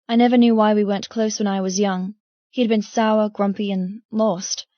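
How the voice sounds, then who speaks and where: A woman's voice: medium pitch, level tone, normal speed, warm tone, dry recording, dull audio. A woman reading first-person dramatic fiction as an audiobook narrator.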